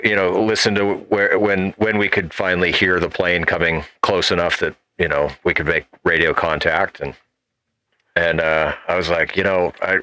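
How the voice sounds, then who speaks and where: Male co-host, very low forceful voice, halting casual delivery. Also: no echo. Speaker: podcast co-host. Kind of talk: casual panel chat.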